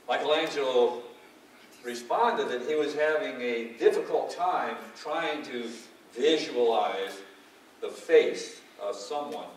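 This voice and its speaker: A man presents a story in a measured, deliberate way, pausing between phrases. His mid-pitched voice comes from some distance in a very reverberant large room. There is faint noise behind him. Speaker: presenter introducing a dramatization. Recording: echoey, distant mic with background noise.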